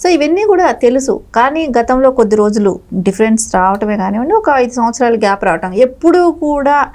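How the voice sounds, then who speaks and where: A woman's voice: a little high, expressive, a little fast, darkish tone. A woman speaks as a political spokesperson. Her commentary is conversational but emphatic, repeating points to insist on them. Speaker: political party spokesperson, interview guest.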